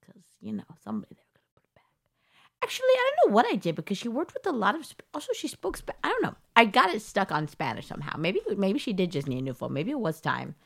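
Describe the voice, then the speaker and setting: A woman's voice: mid-range voice, huge pitch swings, fast, creaky, fairly bright tone. A young woman co-hosting a podcast, chatting casually in a rambling, self-deprecating way. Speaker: podcast co-host. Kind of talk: casual chat.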